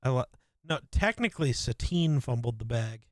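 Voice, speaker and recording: A man co-hosting a casual podcast, an excitable fan who swears casually. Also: medium pitch, normal speed, no echo, studio quality. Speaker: podcast co-host. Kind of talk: casual chat.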